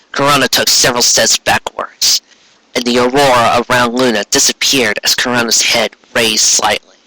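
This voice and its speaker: A man's voice: mid-range voice, pushed and bright, no echo, rough recording. A man reading scripted fantasy fiction aloud as the narrator.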